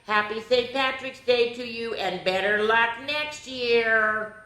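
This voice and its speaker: A woman's voice: a little high, slow, bright, forceful tone, pitched above the performer's own voice. A woman voicing a gleeful, teasing little male leprechaun from a storybook, in a singsong, taunting way. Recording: echoey, roomy sound.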